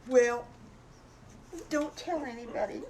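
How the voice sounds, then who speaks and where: A woman's voice: fairly high pitch, slow, a crowd in the background, voice pushed up. A woman voicing an eager, innocent young wolf boy in a children's story, with a childlike, earnest way of talking.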